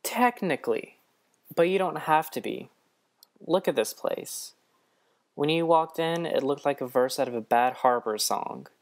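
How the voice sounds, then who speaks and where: A man's voice: on the high side, unhurried, voice pushed up. A man voicing a wry, placating trickster god in a radio-play story, coaxing and conciliatory. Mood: flattering. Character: wry, placating male trickster god, smooth-talking bargainer.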